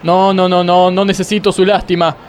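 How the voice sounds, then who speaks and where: Man acting a whiny high-pitched pompous Argentine judge. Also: little pitch movement, moderate pace, natural voice. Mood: pompous, self-pitying. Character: self-pitying, pompous, exasperated Supreme Court judge. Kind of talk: comic radio play acting. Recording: faint vehicle and street noise behind.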